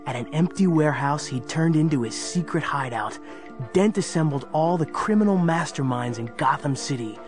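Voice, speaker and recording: High-pitched male dramatic story narrator. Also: lively intonation, normal speed. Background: music.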